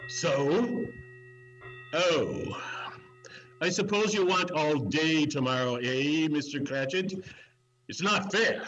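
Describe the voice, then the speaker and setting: A man's voice: fairly high pitch, lively intonation, slow, long pauses, fairly bright tone, highs cut off, faint music behind. A man playing a grumpy, miserly old businessman, blustering and exclamatory in a theatrical dramatic reading. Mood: grumpy, sneering.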